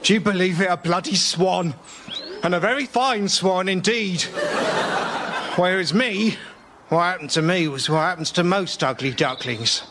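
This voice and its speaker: A man's voice: high voice, a little slow, background noise. A man playing an aggrieved, grumbling ugly duck in a radio comedy sketch, bitter and indignant. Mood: bitter indignation.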